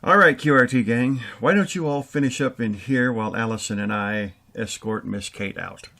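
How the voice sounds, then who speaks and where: A man's voice: mid-range voice, moderate pace, gravelly, noisy background. A man hosting and announcing for a radio theater show. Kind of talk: announcing credits and thanks.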